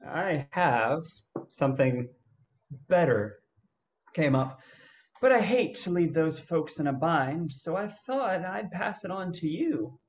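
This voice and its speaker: Man acting unhurried, easygoing adventurer, lightly pitched. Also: very animated, exaggerated voice. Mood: breezy, offhand. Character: easygoing male gold-hunting adventurer telling a tale. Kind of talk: radio play reading.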